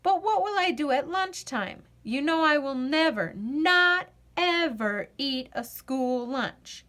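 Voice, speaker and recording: Woman playing little girl, stubborn, fussy, emphatic, high-pitched, slow-paced, storybook character. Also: animated, a bit bright, heavily acted. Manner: emphatic, matter-of-fact objections. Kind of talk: children's storybook read-aloud.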